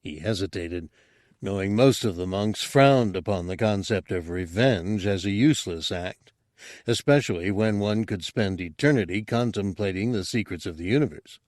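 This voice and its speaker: Man, audiobook narrator, scripted dramatic storytelling, slightly deep voice, dry close recording. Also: normal speed, a little mellow, no echo.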